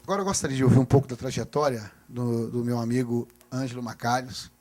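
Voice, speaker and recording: A man with a Brazilian accent hosting a conversational interview, putting questions in halting phrases. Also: medium pitch, darkish tone. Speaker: host interviewing guests.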